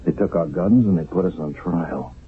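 An actor playing an earnest, rattled wartime army sergeant in a radio drama, halting, pleading and insistent. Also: male voice, low voice, slight background noise, lightly acted. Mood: uneasy, reporting. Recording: narrow, phone-like vintage radio broadcast.

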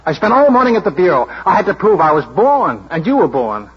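A brash, boastful young fellow in a vintage radio drama, awkward at romance. His voice runs fairly high and leaps around. The recording is dull, with music underneath. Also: very animated, fairly quick, breathy edge, full character voice. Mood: brash, proud. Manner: brash, blustering, self-admiring. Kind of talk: 1940s radio drama acting. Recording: muffled old radio broadcast with music.

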